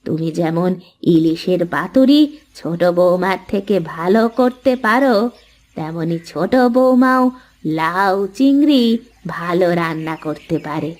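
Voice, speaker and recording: A woman voicing a kindly elderly village mother-in-law in a cartoon, speaking in a measured, soothing way. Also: on the low side, animated, darkish tone. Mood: diplomatic, reassuring. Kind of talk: cartoon voice acting in Bengali family story.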